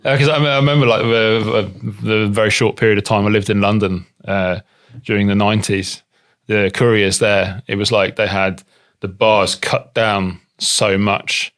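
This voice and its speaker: Man, Norwegian accent, podcast host, fairly low voice, rambling. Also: fairly even intonation, moderate pace, a bit bright. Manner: loose, rambling questions with many restarts. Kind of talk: casual podcast interview.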